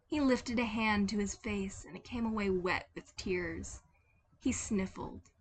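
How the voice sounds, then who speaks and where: A woman's voice: mid-range voice, unhurried, slight background noise. A woman reading scripted fiction aloud as a dramatic narrator. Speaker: podfic narrator.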